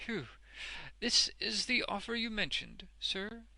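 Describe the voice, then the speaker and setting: A man's voice: sits high, sing-song, takes it slowly, vocal fry, bright, forceful tone, dry recording, voice pushed up, heavily acted, low-quality recording. A man voicing a smug, obsequious street ballad seller in a storybook, deferential yet self-important. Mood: flattered.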